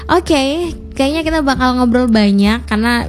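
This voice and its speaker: Young woman radio host, quick and teasing, mellow-ish, music underneath. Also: high-pitched. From Indonesia. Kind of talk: casual radio chat. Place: radio studio.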